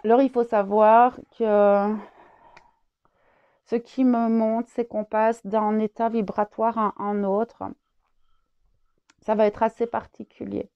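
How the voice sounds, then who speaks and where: A woman's voice: fairly high pitch, flat delivery, slow, pauses often, clear, resonant tone, muffled recording. A French woman with a head cold, channeling a spiritual message, hesitant with many fillers and repeated words.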